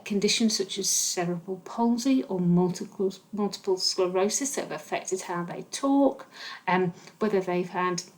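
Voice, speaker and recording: A woman giving an earnest, measured video message as a charity's deputy chief executive, with occasional stammered repeats. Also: mid-range voice, a little mellow.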